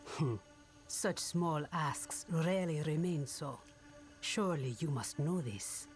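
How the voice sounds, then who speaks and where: Guarded swamp witch in a game, low n really slow, solemn, stern. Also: darkish tone, soft background music. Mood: wary, cool. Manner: solemn, deliberate. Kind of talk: dramatic video game acting.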